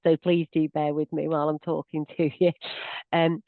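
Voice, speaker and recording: A woman's voice: sits low, little pitch movement, normal speed, no room sound. A woman with a slight cold, explaining a legal topic in a chatty, self-deprecating way on a video call Q&A with many restarts. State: has a cold. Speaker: solicitor. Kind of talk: webinar Q&A explanation. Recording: dry tinny video call audio.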